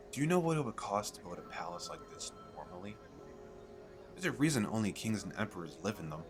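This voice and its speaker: A man playing an eager, chatty fantasy merchant in an audio roleplay, talking the listener through a sales pitch in a hesitant, rambling, persuasive way. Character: eager, chatty male fantasy merchant selling magical crystal castles.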